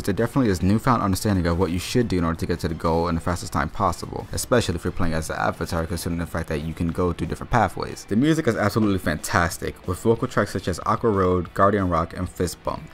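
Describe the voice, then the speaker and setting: Fast-talking, low-voiced man reviewing games. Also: a little hiss or hum. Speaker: video game reviewer and channel host. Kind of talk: scripted video game review narration.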